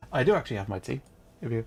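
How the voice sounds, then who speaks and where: A man's voice: medium pitch, big pitch swings, fast, gravelly, a little mellow, breathy edge, soft background music. A man chatting casually to viewers on a livestream, talking in a hesitant, flustered way and often interrupting himself. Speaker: livestreamer, VTuber.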